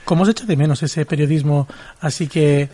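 A male radio host with a fairly high voice. He talks casually, steering a sports show. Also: fairly quick, mellow.

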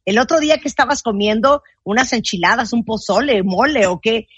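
Mexican lady interviewer, excited and praising, a bit husky, dull dry sound. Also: a little high, a little fast, no echo. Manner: enthusiastic, praising. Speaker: interviewer, host.

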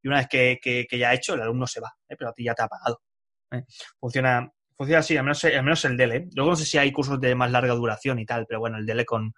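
Man, fast-paced group leader on a video call, energetic. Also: medium pitch. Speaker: course leader.

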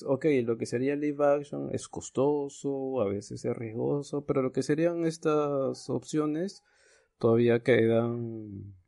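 A man's voice: mid-range voice, vocal fry, warm tone. A man co-hosting a podcast in casual chat, often repeating and rephrasing what he says. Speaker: podcast co-host.

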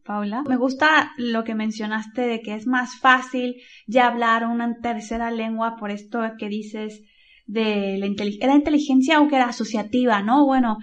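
A woman interviewer with a slightly raised pitch. She agrees readily with her guest and asks follow-up questions in a friendly way. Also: steady tone, normal speed, highs cut off. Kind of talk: interview conversation.

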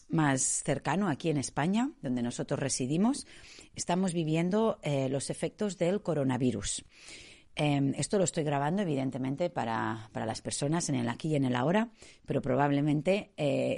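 A woman's voice: low voice, darkish tone. A woman from Spain with a Spanish accent who has a cold and a cough, hosting a podcast in a chatty, meandering way. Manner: chatty and meandering, many fillers. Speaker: podcast host. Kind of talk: casual podcast talk.

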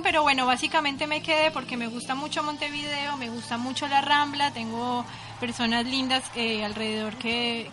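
A woman from Colombia, chatting casually as a radio co-host, bubbly and quick to laugh at herself. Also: a little high. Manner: bubbly, laughs often, self-deprecating. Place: radio studio.

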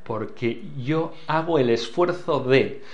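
A man's voice: mid-range voice, slow pace. An older man with a foreign accent, a church leader giving earnest interview answers and repeating key phrases for emphasis. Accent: foreign accent in Spanish.

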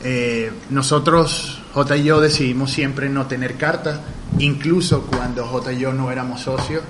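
A man's voice: mid-range voice, normal speed. A man from Venezuela, a bar owner interviewed about vermouth and wine, speaking in passionate detail with many hesitations. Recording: steady background noise.

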